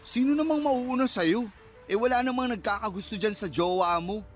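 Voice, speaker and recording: A man's voice: high voice, normal speed, soft background music, lightly acted. An actor playing a young Filipino man in a radio drama, a teasing best friend who banters in slang and acts mock-offended. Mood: incredulous, hurt.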